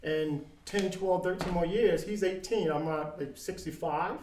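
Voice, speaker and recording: A man in his fifties speaking in casual chat as a podcast guest, earnest and halting, with many ums and restarts. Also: high-pitched, darkish tone, echoey room, a little hiss or hum. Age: 50s.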